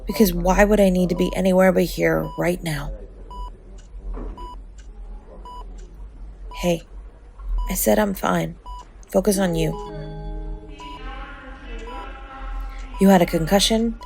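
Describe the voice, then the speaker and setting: A woman playing a sharp-tongued but tender young woman who protects a lover, in an intimate acted audio roleplay, bluntly affectionate and halting when emotional. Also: on the low side, fairly even intonation, vocal fry, faint music behind. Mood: weary, gruff.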